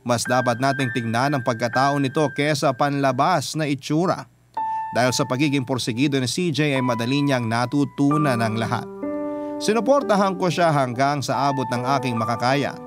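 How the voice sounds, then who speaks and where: A man's voice: mid-range voice, moderate pace. A man with a Filipino accent, a radio host reading a listener's letter as scripted first-person storytelling. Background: music under the voice.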